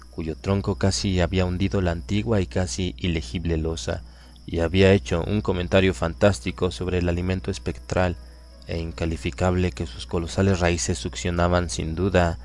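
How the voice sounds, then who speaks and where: A man with a Latin American Spanish accent reading a literary horror story aloud in a measured, grave manner. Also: sits low, a little mellow. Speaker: audiobook narrator. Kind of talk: scripted literary narration.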